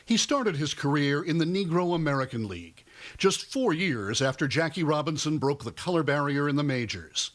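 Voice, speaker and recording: Guy doing a scripted tv news voiceover, pitch moves around a lot. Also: animated, normal speed, a bit bright. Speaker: TV news reporter.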